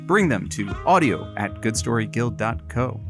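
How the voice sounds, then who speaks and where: A man's voice: mid-range voice, very animated, moderate pace, over music. A man playing a cheeky late-night radio sidekick, reading in a cozy, showy announcer manner. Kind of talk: comic banter and scripted credits narration.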